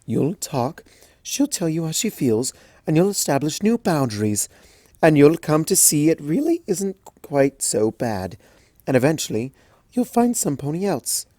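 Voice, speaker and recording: A woman voicing a gentle, refined unicorn mare who speaks in a posh, soothing way. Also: sits low, very animated, measured pace, dry recording, pitched above the performer's own voice, full character voice. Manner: posh, soothing, calls others darling.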